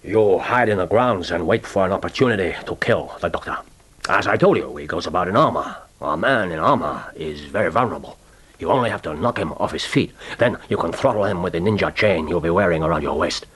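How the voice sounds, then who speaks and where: Jovial ruthless spy boss, husky and swoopy, radio play with film music under it. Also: male voice, sits low, lively intonation, brisk, a bit bright, full character voice. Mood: firm, instructing. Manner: expansive, commanding, teasing. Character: jovial, ruthless Japanese male spymaster briefing an agent. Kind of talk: radio drama acting. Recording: orchestral film score behind the voice.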